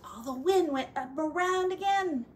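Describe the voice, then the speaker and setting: A woman teacher reading a picture story aloud to children, expressive and dramatic, with chatty asides. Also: high-pitched, a little slow, a little hiss or hum.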